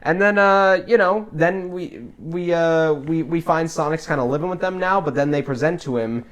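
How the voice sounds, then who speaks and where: A man's voice: a little high, moderate pace, lo-fi sound. A man co-hosting a casual film chat, talking in a rambling, enthusiastic fan's way. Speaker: podcast co-host.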